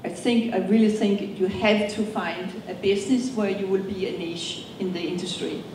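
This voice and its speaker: A middle-aged woman with a Danish accent, answering interview questions on stage and often pausing on ums and restarts. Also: medium pitch, fairly even intonation, darkish tone, slight background noise. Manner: hesitant, many ums and restarts. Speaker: company co-founder, guest. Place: event hall. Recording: echoey hall from far off.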